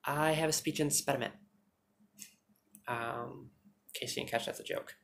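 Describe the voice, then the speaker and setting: A woman vlogging on her phone at home, chatting fast and joking with some swearing, her naturally deep voice held higher. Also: steady tone, creaky, slight background noise. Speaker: YouTuber. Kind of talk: casual storytelling vlog. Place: indoors at home, TV behind her.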